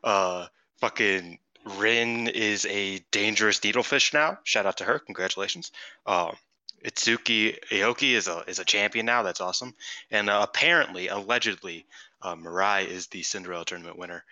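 A man's voice: fairly low pitch, moderate pace, sharp tone, dry recording, poor audio. A man co-hosting a podcast, congested, chatting casually and rambling with lots of fillers and the odd swear word. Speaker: podcast co-host. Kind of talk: casual chat.

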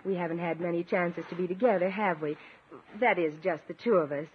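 A woman's voice: lowish voice, steady tone, brisk, close to an everyday voice. A woman playing a sweet, naive, doting sweetheart in a 1950s radio comedy. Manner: coy, gushing. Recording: muffled, hissy old radio recording.

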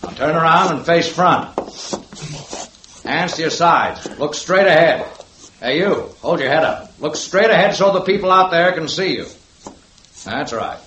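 An actor playing a firm police sergeant who runs a lineup and barks orderly instructions in a 1950s radio drama. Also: male voice, fairly high pitch, animated, unhurried, long pauses, fairly bright tone, a little hiss or hum. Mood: firm, official. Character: brisk, commanding male police sergeant. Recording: echoey old radio broadcast.

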